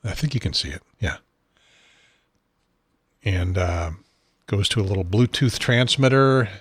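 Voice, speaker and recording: A man hosting his own talk show from a home studio desk, rambling and self-deprecating, with a husky, unsteady voice and frequent throat clearing. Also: fairly low pitch, animated, unhurried, no echo. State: has a probe in his nose. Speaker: podcast host.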